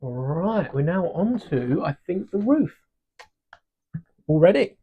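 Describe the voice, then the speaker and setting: A man's voice: fairly high pitch, takes it slowly, breathy edge, dull audio. Chatty man thinking aloud while building. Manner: relaxed, chatty, self-deprecating, thinks aloud. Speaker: livestream host building a brick set. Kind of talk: casual live-stream chat. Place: home desk during a livestream. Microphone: desk microphone.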